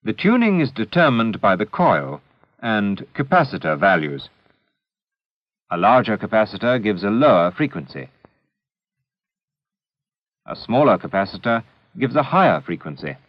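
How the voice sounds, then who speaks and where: A man narrating a scripted educational film in a measured, deliberate, didactic manner, on an old 16mm film soundtrack. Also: on the low side, very animated, no room sound, muffled recording.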